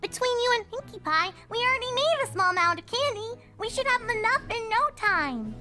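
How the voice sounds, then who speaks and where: A tiny, extremely high cartoon pony voice. This young female pony is chirpy, sunny and eager, with music clearly playing behind. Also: big pitch swings, moderate pace.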